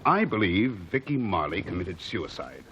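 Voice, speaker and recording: A man's voice: low-pitched, sing-song, moderate pace, fairly bright tone. An actor playing an earnest male theater producer who speaks persuasively, in 1940s radio acting. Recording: dull old radio broadcast, faint hiss.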